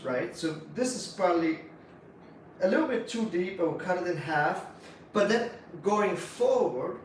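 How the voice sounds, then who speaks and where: A man with a Swiss accent co-hosting a casual bonsai livestream, explaining techniques in a relaxed, practical way with lots of fillers. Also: fairly high pitch, a little hiss or hum. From Switzerland. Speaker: bonsai nursery co-host. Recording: echoey room, mic at a distance.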